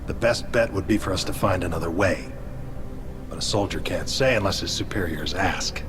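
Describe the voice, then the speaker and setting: An actor playing a gruff, loyal alien marine in a video game, plain-spoken and curt. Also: male voice, low voice, moderate pace, background noise, lightly acted. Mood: reserved, respectful.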